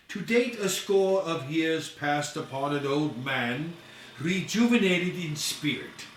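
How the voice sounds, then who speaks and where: Slow, theatrical male storyteller in echoey room. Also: on the high side, a little hiss or hum. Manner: theatrical, declamatory. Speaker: author reading his own short story. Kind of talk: dramatic storybook narration. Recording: echoey room, distant from mic.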